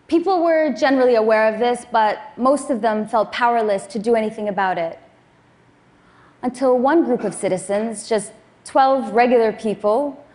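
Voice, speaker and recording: A woman in her thirties, born in Afghanistan, giving a prepared public talk in a measured, earnest way. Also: a little high, a little mellow. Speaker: speaker.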